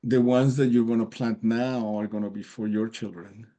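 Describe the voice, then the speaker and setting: Mellow man. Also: on the low side, steady tone, normal speed. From Colombia. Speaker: participant in a group video meeting. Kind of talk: casual chat. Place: video call.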